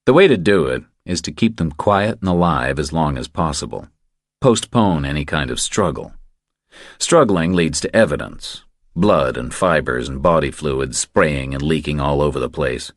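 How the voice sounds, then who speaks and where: A man's voice: rumbling low, animated, moderate pace, dry recording, clean studio sound. A man reading scripted thriller narration as an audiobook narrator.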